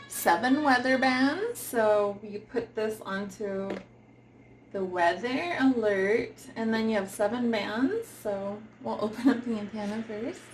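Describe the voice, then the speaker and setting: A woman with a fairly high voice talks through a product review in a casual, unscripted way. She is informal and giggly, hesitating as she hunts for words. There is some background noise on the amateur recording.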